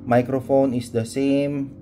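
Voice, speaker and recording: A Filipino man talks through a gear review in a relaxed, mellow voice at a middling pitch. His delivery is casual and unscripted, often pausing to find the word as he switches between Tagalog and English. There is some noticeable background noise. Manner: hesitant, unscripted, searching for words, mixing Tagalog and English. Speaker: motorcycle vlogger presenting a product. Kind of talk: casual unboxing and review chat.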